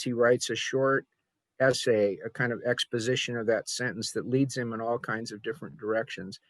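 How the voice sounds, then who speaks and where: A man hosts a literary program in a reflective, informal way. His mid-pitched voice moves haltingly, with deliberate pauses between phrases. The sound is dry, with little room around it. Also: no echo. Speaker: host introducing a literary reading. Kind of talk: informal spoken introduction.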